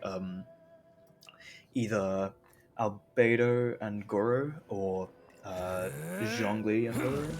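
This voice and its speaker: A man giving casual live gameplay commentary as a streamer, rambling in a jokey, self-deprecating way. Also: lowish voice. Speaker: game streamer.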